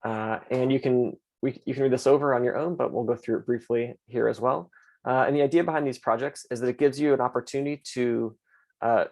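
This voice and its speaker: A man with a mid-range voice and a noticeable gravelly fry leads an online class. He moves through questions and course plans in an easygoing way, with plenty of "uh" and "so". He sounds like he is talking into a computer mic on a video call. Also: medium pitch. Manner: relaxed, filler-heavy explaining. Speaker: course instructor and host. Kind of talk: online lecture and Q&A moderation.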